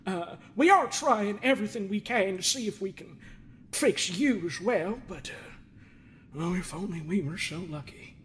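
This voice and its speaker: A woman's voice: medium pitch, lively intonation, a little slow, a little hiss or hum, natural voice. A woman voicing a smug, scolding middle-aged male therapist of the universe in an improvised comedy game dub, rambling, folksy and theatrical. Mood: mock-clinical. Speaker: fan dub voice performer. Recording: music under the voice.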